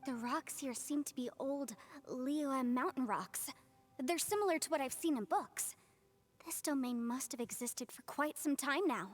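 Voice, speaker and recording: A woman playing a polite, composed young scholar in an anime game, speaking in a measured, formal, earnest way. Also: high voice, soft background music. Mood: calm, informative. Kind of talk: anime video game voice acting.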